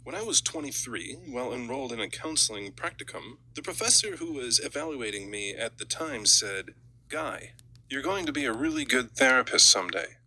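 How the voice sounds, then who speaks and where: A man's voice: mid-range voice, animated, normal speed, sharp tone, low-quality recording. A man reading scripted audiobook narration.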